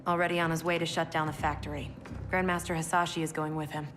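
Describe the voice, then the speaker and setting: A woman playing a brisk, matter-of-fact officer giving a briefing in a video game. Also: low-pitched, level tone, fairly bright tone, soft background music. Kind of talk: cinematic video game acting.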